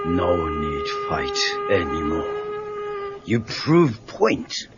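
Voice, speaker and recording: A man playing a gentle, wise old karate master with a Japanese accent and terse, halting broken English. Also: sits low, wildly swooping pitch, very noisy, heavily acted. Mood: solemn. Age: older. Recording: muffled cassette tape with music.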